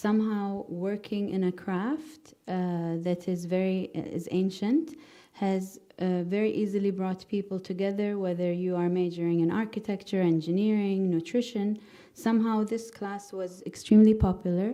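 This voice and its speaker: Mellow-voiced woman moderating a conference panel. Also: mid-range voice, fairly even intonation. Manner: halting, many fillers and restarts. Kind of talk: conference moderating, partly scripted. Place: conference hall. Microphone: handheld microphone.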